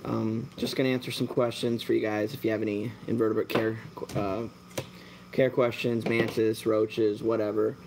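A man's voice: on the low side, normal speed, noisy background. A man in his thirties chatting casually on a live stream while he works in his shop, rambling with lots of fillers. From born in Germany, raised American. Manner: rambling, relaxed, talks while working, many fillers. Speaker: mantis breeder and shop owner. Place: dim indoor shop at night.

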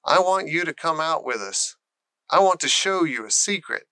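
A man's voice: a little high, lively intonation, moderate pace, bright, forceful tone, clear, resonant tone, dry recording. A man voicing a frank, encouraging young girl in a storybook, earnest and coaxing. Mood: inviting.

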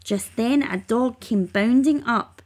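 A woman reading rhyming children's narration aloud, expressively and with measured emphasis. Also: a little high, a little mellow. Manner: measured, emphatic on sound words. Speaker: storybook reader.

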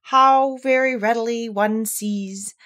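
Woman playing a slow, earnest, simple bear. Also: on the high side, steady tone, fairly bright tone, pitched above the performer's own voice. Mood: dreamy reciting. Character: slow-witted, good-natured bear, male.

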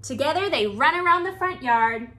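A woman in her thirties reading a picture book aloud to young children with expressive, deliberate phrasing. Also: sits high. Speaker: children's storytime reader. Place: small indoor room with bookshelves.

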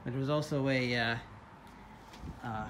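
A man in his thirties hosting a casual vlog tour, talking in a halting, playfully dramatic way into a handheld phone. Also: medium pitch, steady tone, fairly bright tone, slight background noise. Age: 30s. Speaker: vlog host. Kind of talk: casual first-person video tour. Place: at home indoors, then outdoors and inside an old barn. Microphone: handheld phone at arm's length.

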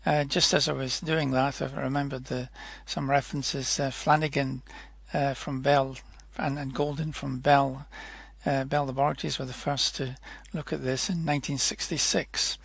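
A man narrating an informal technical tutorial in a hesitant, halting way, often correcting himself as he explains. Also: medium pitch, flat delivery, gravelly, fairly bright tone, breathy edge, no echo. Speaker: software demonstrator.

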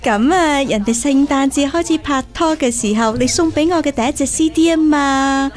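A woman with a Hong Kong Cantonese accent playing a brisk, nagging, affectionate pig mother in a radio drama. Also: high voice, faint music behind, natural voice.

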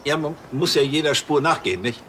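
A man's voice: fairly high pitch, expressive, fairly quick, fairly bright tone, slight background noise. An actor playing a self-important, overeager older policeman in a TV crime comedy, stammering and pompous. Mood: eager, flustered.

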